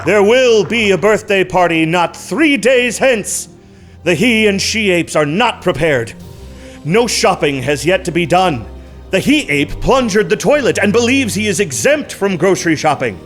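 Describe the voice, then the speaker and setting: A man's voice: high-pitched, very animated, unhurried, fairly bright tone, soft background music, heavily acted. A man playing a cunning, pompous mold spore orator bent on conquest, in a comic audio drama. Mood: scheming, grandiose. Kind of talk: theatrical audio comedy drama.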